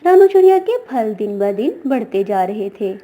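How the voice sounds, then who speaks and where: A woman's voice: a little high, sing-song, moderate pace, phone-quality sound. A woman delivering scripted narration as the narrator of a children's story.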